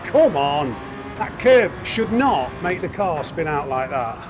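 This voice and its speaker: A man's voice: a little high, big pitch swings, slow, over music. A man giving informal live commentary on a racing game, coaching himself and exclaiming as he goes. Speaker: live streamer, racing gamer. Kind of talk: live gaming commentary, muttering to self. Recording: loud car engine noise behind. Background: racing game car engines.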